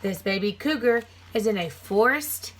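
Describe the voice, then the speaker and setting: A woman's voice: mid-range voice, animated, moderate pace. A woman teacher reading a children's nonfiction book aloud, phrasing it simply for young listeners with casual asides.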